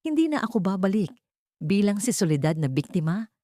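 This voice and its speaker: A woman's voice: mid-range voice, big pitch swings, a little fast, dark tone, no echo, clean studio sound, lightly acted. A woman with a Filipino accent voicing a cold, determined wronged woman bent on revenge in an audio drama story. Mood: cold, resolute. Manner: cold, resolute declarations.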